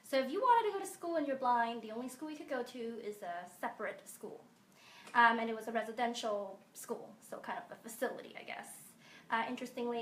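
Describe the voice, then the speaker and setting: Higher-voiced young woman answering interview questions. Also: echoey room, slight background noise, low-quality recording. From Hong Kong. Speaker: guest.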